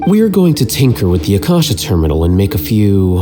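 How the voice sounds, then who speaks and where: A man voicing a calculating young scholar in a video game, measured, aloof and matter-of-fact. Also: on the low side, very animated. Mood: aloof, explanatory. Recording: clear music behind the voice.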